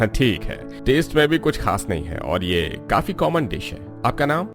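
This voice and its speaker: A man voicing a formal, decisive cooking contest judge in a cartoon story, measured and appraising. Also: mid-range voice, big pitch swings, soft background music. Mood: unimpressed. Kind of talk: Hindi cartoon story voice acting.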